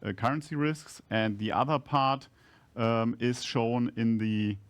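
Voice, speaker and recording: A man speaking as a company executive on an earnings call, explaining accounting matters haltingly with broken-off phrases. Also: medium pitch, steady tone, smooth. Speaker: company executive answering analysts.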